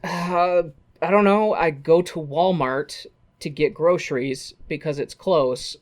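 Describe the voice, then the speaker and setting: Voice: medium pitch, slow. Sweary podcast co-host recapping a film. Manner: sweary, acting out mocking quotes. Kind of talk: casual, comedic recap of a musical film.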